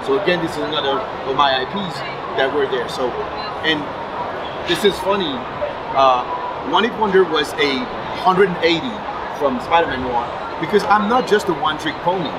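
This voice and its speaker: A man in his forties from France with a French accent, talking animatedly in a casual interview at a convention booth. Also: fairly high pitch. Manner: animated, gestures while talking. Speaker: comic book writer and publisher. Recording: loud convention crowd noise behind.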